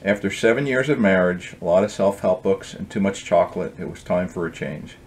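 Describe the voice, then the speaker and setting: A man's voice: sits low, brisk, a little mellow. A middle-aged man reading from his own book as its author, in a storybook style with casual introductions. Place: indoor home office.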